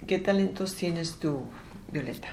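A woman interviewing a friend for an oral history, gentle and hesitant with many fillers. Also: fairly low pitch, unhurried, a little hiss or hum.

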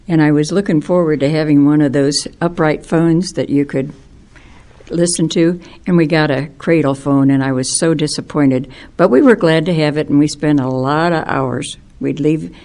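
An older woman from Illinois tells her memories in an interview at an unhurried pace. Her voice is low and mellow for a woman. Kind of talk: reminiscing in an interview.

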